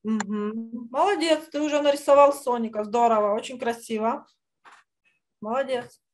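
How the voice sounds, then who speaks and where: Woman, middle-aged, high voice, art teacher, encouraging, affectionate diminutives, online children's lesson. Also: moderate pace, soft background music. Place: indoor room, on a video call.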